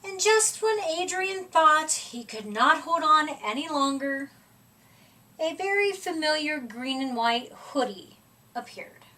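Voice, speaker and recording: High-voiced, unhurried woman reading a storybook. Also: lively intonation, bright, forceful tone, recorded from a distance. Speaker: storybook reader. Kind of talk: expressive read-aloud of a children's picture book.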